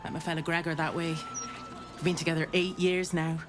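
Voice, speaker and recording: A woman playing a warm-hearted, teasing female arms trader in a video game, hearty and familiar. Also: low-pitched, flat delivery, a little fast, close to an everyday voice. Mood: delighted surprise. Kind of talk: dramatic video game acting. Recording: music behind the voice.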